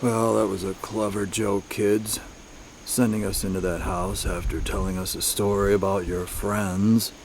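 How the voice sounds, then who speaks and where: A skeptical family man , acted by a man with a low, creaky voice, stiff and politely hesitant in old-time radio drama style. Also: level tone, unhurried, noisy background. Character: skeptical, hesitant husband and father type.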